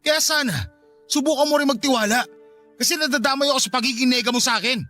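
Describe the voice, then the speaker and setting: A man's voice: very high voice, fast, sharp tone, faint music behind. A man playing an exasperated, wounded husband, emotional and confrontational, in a radio drama. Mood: hurt, exasperated. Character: exasperated, wounded husband, defiant and weary of distrust. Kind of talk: radio drama dialogue acting.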